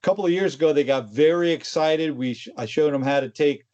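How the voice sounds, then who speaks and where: A man with a somewhat high-set voice co-hosts a casual tech discussion. He explains things conversationally and drops in informal asides. The sound is a little dull and lo-fi. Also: quick pace, a little mellow. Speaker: co-host of a tech discussion show.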